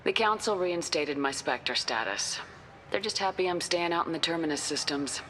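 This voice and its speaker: Space-soldier heroine, low female voice, direct, assertive, no-nonsense, game dialogue, faint background noise. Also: lively intonation, normal speed, fairly bright tone, rough recording. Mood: businesslike. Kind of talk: video game dialogue acting.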